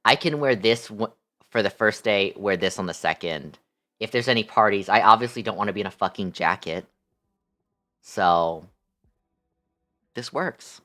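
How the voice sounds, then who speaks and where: A man's voice: lowish voice, moderate pace, pauses often, fairly bright tone. Young man chatting playfully on livestream. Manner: playful, affectionate, blows kisses to chatters. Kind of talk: casual livestream chat with viewers.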